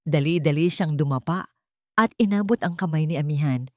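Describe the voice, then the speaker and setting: A woman narrates an audio drama in a somewhat low voice, recorded dry and close. Her delivery is solemn and suspenseful, full of feeling, in the manner of a scripted storyteller. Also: moderate pace, darkish tone, no echo.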